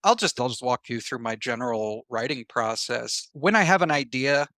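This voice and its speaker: A man's voice: mid-range voice, expressive, moderate pace, pushed and bright, no room sound, clean studio sound. A man answering interview questions in a conversational, reflective way, explaining his points through examples and comparisons. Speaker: interview guest, writer.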